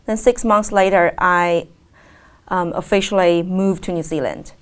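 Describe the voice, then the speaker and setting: A woman in her thirties, born in China and speaking English as a second language, answering an interviewer's questions in a thoughtful way with frequent pauses and fillers. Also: on the low side, clear, resonant tone. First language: Chinese. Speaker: guest, teacher.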